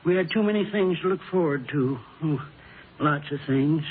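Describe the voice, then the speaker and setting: A grieving old widower played by a male actor speaks in a fairly high voice, halting and polite, shaken and bewildered. It has the plain folksy manner of vintage radio drama, on a thin, noisy old recording. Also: phone-quality sound, close to an everyday voice. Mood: earnest. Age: over sixty-five. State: shaken with grief. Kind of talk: 1950s radio drama acting.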